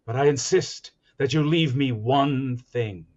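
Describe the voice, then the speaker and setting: A man's voice: medium pitch, a little slow, a little mellow, dull audio, close to an everyday voice. A man playing an eager radio announcer who hams up a society husband, with grand melodramatic diction in 1940s radio comedy style. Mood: melodramatic pleading.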